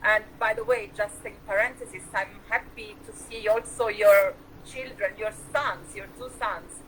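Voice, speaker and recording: A woman's voice: medium pitch, unhurried, a bit bright, slight background noise, poor audio. A woman host with an Italian accent, asking questions over a video call, enthusiastic and full of restarts. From Switzerland. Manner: enthusiastic, with many uhs and restarts. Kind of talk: interview questions.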